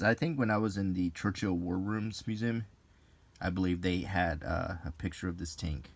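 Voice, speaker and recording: Deep-voiced man, musing softly aloud. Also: dry recording. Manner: musing, halting delivery with pauses. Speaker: video host reviewing an old magazine. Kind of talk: unscripted ASMR-style commentary while browsing a magazine.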